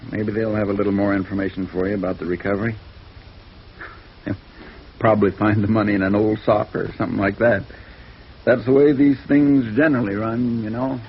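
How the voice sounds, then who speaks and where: Weary, low-voiced small-time lawyer, acted by a man. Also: flat delivery, brisk, long pauses, natural voice. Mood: easygoing, musing. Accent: American. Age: 40s. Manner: rambling, folksy, hesitant. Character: tired, seedy, amiable small-time male lawyer around forty. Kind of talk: 1950s radio drama acting. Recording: muffled, noisy vintage radio recording.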